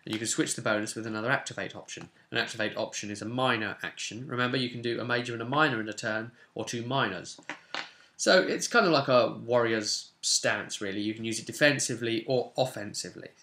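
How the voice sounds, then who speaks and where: Young guy hosting a tabletop rpg how-to, bright forceful voice, chatty, at his desk at home. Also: mid-range voice, fast talker. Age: 20s. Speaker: tabletop roleplay channel host. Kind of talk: casual instructional commentary, partly reading rules aloud. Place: small home room, indoors.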